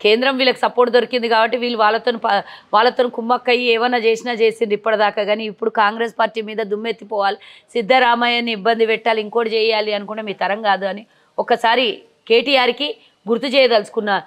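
A woman's voice: on the high side, fairly even intonation, fast talker. A woman, a party politician giving combative interview answers full of rhetorical questions, recorded outdoors on a lapel mic.

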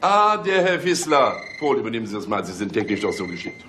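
Deep-voiced, sardonic police chief. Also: male voice, big pitch swings, fairly quick, very noisy. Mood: ironic, pressing. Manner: mock-polite, ironic, barks orders. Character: sardonic, commanding male police chief inspector. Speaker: actor in a radio crime drama. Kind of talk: German radio play acting.

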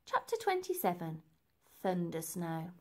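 A woman's voice: fairly low pitch, sing-song, measured pace, darkish tone. A woman reading a children's story aloud as narrator, with dramatic, emphatic phrasing. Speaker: storybook reader.

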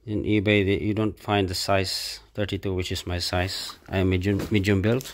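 A middle-aged man with a Filipino accent, off camera in a carpeted room, speaking in a halting, casual way with many fillers and restarts as he shows an item to a handheld phone camera. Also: sits low, little pitch movement. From Philippines. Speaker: product reviewer. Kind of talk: casual unboxing commentary.

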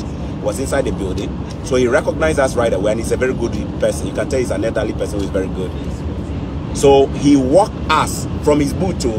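A middle-aged man telling a story casually while driving, acting out the dialogue with quoted voices. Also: mid-range voice. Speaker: vlogger. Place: car on a snowy highway. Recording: clear car road noise. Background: road and engine noise.

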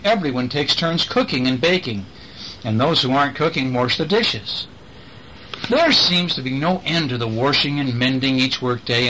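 A middle-aged man reading a picture storybook aloud to a child in an expressive way, with chatty asides and questions. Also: mid-range voice, low-quality recording. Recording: home recording with faint background noise.

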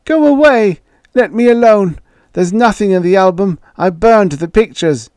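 Frail old inventor, terrified and pleading, thin high quavering voice, put on by a male reader; dry close sound. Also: measured pace, darkish tone, dry recording. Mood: fearful pleading.

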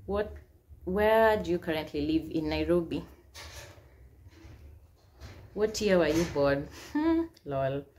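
A young woman with a Kenyan accent speaks in a deep, low voice, reading out questions and answering them in a relaxed, chatty way. Swahili phrases slip into her talk now and then. She sits close to the camera in a small room, and a faint hiss runs under the recording. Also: unhurried. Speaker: YouTuber. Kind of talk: casual Q&A vlog. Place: small indoor room, seated at a plain wall.

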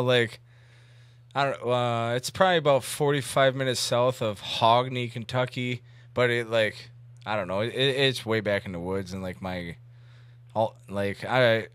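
A man in his thirties hosting a comedy podcast, chatting casually in a rambling, halting way with crude humor. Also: fairly low pitch, fairly even intonation, fairly bright tone, smooth. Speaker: comedy podcast host making a prank call. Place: indoor room at a desk.

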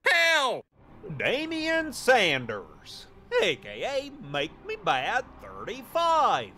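A man's voice: extremely high pitch, wildly swooping pitch, very slow, bright, forceful tone, exaggerated voice. A man voicing an unhinged, menacing cartoon dog debt collector in a comedy skit, full of melodramatic threats. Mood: brooding, vengeful.

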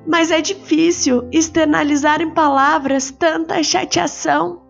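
A woman doing a gentle mother. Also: sits high, steady tone, measured pace, vocal fry, voice pushed up. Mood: wistful. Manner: soft, soothing, poetic. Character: gentle, patient mother, wistful. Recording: slightly muffled with soft music.

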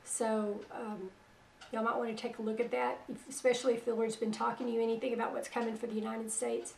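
A middle-aged woman hosting religious internet radio. Her voice is weak after a stroke, and she talks in a soft, plain-spoken, unhurried way. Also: a little high, flat delivery, gravelly, echoey room, far from the mic, slight background noise. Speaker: radio host. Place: small room at home, indoors. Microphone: headset.

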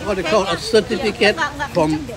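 Elderly Malaysian-accented man amid loud chatter. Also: sits high, normal speed. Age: 70s. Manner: repeats his words. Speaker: interview guest. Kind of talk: casual conversation. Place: outdoors at a park gazebo gathering. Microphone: phone held by the interviewer. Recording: loud outdoor crowd chatter.

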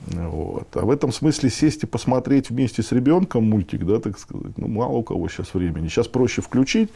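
A man in his fifties giving a casual interview, speaking haltingly as he thinks aloud. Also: medium pitch, lively intonation, creaky, dark tone, slightly rough. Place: furnished meeting room indoors.

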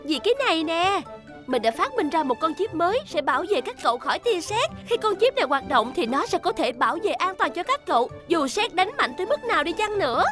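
A woman playing a bright young female inventor in a dubbed children's cartoon, upbeat and reassuring as she explains. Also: very high-pitched, very animated, a little fast. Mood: proud, upbeat. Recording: loud music behind the voice.

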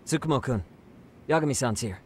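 A man's voice: medium pitch, animated, fairly quick, darkish tone, a little hiss or hum, lightly acted. An actor playing a casual, breezy young male sidekick in a video game. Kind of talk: video game cutscene dialogue.

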